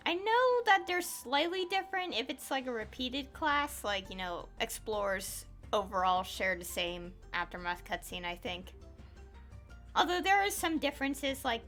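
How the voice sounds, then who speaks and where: A woman's voice: high voice, sharp tone. A woman giving casual gameplay commentary as a streamer, rambling and often correcting and repeating herself as she explains. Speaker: gaming video creator and streamer.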